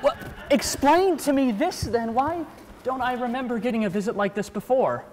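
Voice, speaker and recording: A woman's voice: a little high, expressive, moderate pace, a little mellow, close to an everyday voice. A woman playing a bewildered writer in a comic stage play, talking in a hesitant, stammering way. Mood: skeptical. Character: bewildered, skeptical writer turning eager and wheedling. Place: theatre stage at a play festival. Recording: echoey stage hall, clear background noise.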